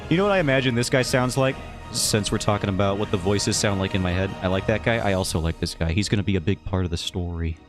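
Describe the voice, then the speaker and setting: A man's voice: fairly low pitch, quick pace, hardly any pauses. A man streaming while he draws his webcomic, who rambles in a casual, self-mocking and jokey way. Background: faint background music.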